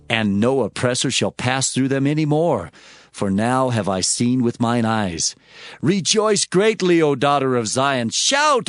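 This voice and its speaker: A man giving a theatrical, solemn, proclamatory reading of scripture as a dramatized audio Bible narrator. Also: mid-range voice. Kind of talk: dramatized King James Bible reading, declamatory. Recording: music behind the voice. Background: music.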